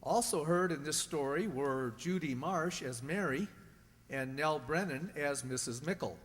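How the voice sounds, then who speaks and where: Higher-voiced male radio announcer in a hall. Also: a little slow, a bit bright. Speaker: host and announcer. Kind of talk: scripted old-time radio announcing. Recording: long hall reverb.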